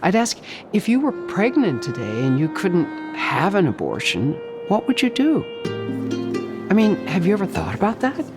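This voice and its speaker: A woman's voice: medium pitch, huge pitch swings, moderate pace, music in the background. An older woman, a playwright and director, telling her story in an earnest, reflective way in a documentary interview.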